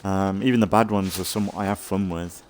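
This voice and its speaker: A man giving casual, rambling commentary as a let's play host, thinking aloud in a halting way and sounding slightly congested. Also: low voice, steady tone, a little mellow, slight background noise. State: slightly congested from an oncoming cold.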